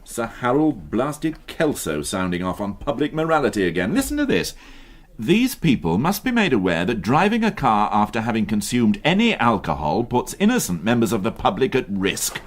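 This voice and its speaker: An actor playing a middle-aged police inspector in a British radio drama, sardonic, authoritative and quick to indignation. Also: male voice, mid-range voice, big pitch swings. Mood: indignant. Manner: dry, teasing, authoritative.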